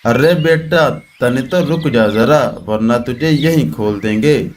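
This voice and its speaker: Man voicing a slow, swaggering predator bird. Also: medium pitch, a little hiss or hum, natural voice. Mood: threatening. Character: menacing male bird of prey, swaggering bully.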